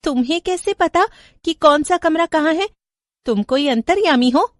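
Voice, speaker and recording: Woman acting an excitable, very high-voiced young wife. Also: moderate pace, dry recording, clean studio sound. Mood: uneasy. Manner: exclaiming, emotive. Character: excitable, anxious young daughter-in-law. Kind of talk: horror storybook character voice.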